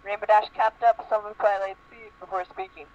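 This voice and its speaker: A woman's voice: medium pitch, level tone, a little fast, a little mellow. A woman narrating fan fiction as a comedic dramatic reading, voicing bits of dialogue along the way. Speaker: reader. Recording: lo-fi radio-like sound, faint noise.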